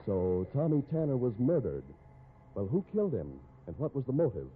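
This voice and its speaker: A man's voice: mid-range voice, big pitch swings, normal speed. An American man as announcer and narrator of a 1950s radio mystery, reading scripted copy in a dramatic style. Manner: dramatic, theatrical. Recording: noisy, narrow-band old radio recording.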